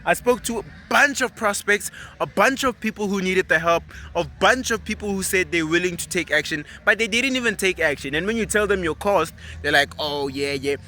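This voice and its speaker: Bright-voiced animated young man. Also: high voice, normal speed, few pauses, a little hiss or hum. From South Africa. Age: 20s. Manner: animated, emphatic, persuasive, slangy. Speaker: vlogger. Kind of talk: casual motivational monologue.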